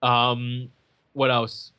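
A man with a bright, forward tone talks casually about a film on a podcast. He rambles a bit, filling gaps with ums and restarting his sentences. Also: mid-range voice, monotone, very slow, clean tone. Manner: chatty, many fillers and restarts.

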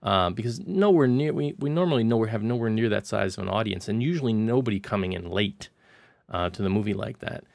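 A man with a gravelly voice gives a casual film review on a podcast. He stammers through restarts as he hunts for words, and the recording is dry and close. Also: lowish voice, brisk, a little mellow, no echo.

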